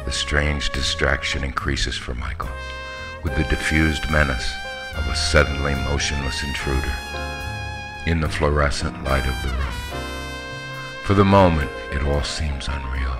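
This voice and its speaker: A man's voice: rumbling low, steady tone, unhurried, over loud music. A man reading a literary story aloud as an audiobook narrator, in scripted narration. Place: voice-over with music behind.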